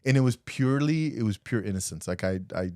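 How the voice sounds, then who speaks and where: A man's voice: mid-range voice, lively intonation, a little fast, mellow, clean tone, dry recording, clean studio sound. A man co-hosting a podcast, telling candid stories in a rambling, casual way with frequent restarts. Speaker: podcast co-host.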